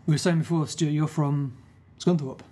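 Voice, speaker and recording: Relaxed higher-voiced man hosting. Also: normal speed. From northern England. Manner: relaxed, asks questions. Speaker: podcast host. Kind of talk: casual chat.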